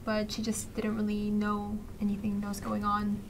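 A woman's voice: medium pitch, completely flat delivery, moderate pace. A teenage woman, a student actor, answering informally in a casual panel interview. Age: teens. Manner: informal, hedging with "like" and "kinda". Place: small indoor studio. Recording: faint background room noise.

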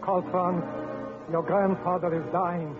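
An actor playing an urgent, formal elderly doctor and royal tutor in a 1950s radio operetta. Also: male voice, sits high, flat delivery, takes it slowly, music in the background. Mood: urgent, grave. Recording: narrow 1950s radio broadcast with orchestra.

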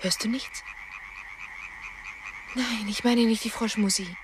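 Radio play lover: young female, intimate, hesitant, anxious, slow delivery, faint noisy night of croaking frogs. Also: on the high side, fairly even intonation. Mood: anxious, wistful. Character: anxious, tender young woman in love.

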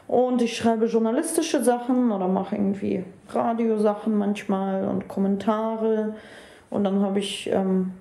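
A woman in her thirties, a writer, talking haltingly with many fillers in an interview. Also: mid-range voice, gravelly, warm tone, roomy sound. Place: living room.

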